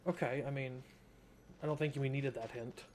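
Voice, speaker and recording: A man's voice: medium pitch, steady tone, moderate pace, a little mellow, slight background noise. A man giving casual gameplay commentary, rambling to himself in a wry, informal way. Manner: rambling, self-talking, wry. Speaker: gamer, streamer. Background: game music.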